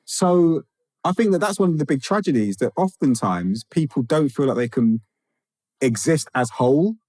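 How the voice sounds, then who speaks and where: A man's voice: a little high, lively intonation, moderate pace, a little mellow, no room sound. A man from England, a teacher and author, speaking reflectively as a podcast guest, often restarting and building emphasis. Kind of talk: conversational interview.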